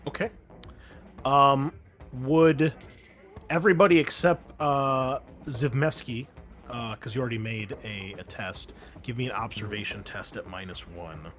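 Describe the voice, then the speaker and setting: A man acting as game master, narrating a tabletop game and explaining rules casually in a halting, hesitant way. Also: medium pitch, steady tone, fairly bright tone.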